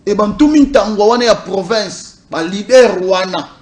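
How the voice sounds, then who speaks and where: A man from DR Congo's Congo Central making his case in a political interview. He speaks emphatically, hammering points home with repeated lists, in a fairly high voice. As the party's communication officer he argues with insistence. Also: moderate pace, a little mellow. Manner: emphatic, repetitive listing. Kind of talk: political interview, code-switching.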